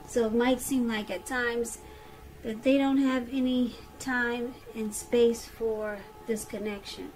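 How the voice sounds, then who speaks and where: A woman's voice: on the high side, fairly even intonation, slow pace, a little mellow, rough recording. A woman giving a conversational tarot reading straight to the listener, repeating phrases for emphasis. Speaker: tarot reader.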